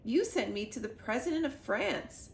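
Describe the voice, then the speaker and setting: A woman's voice: a little high, sing-song, moderate pace, gravelly, roomy sound, voice pushed up. A woman voicing an earnest, exasperated young boy spy in a children's storybook, with an indignant manner. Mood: sulky, indignant. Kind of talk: children's storybook read-aloud.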